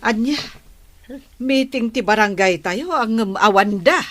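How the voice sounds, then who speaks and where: A woman's voice: a little high, expressive, measured pace. A woman with an Ilocano accent acting an approving, inquisitive village woman in radio drama dialogue. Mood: earnest.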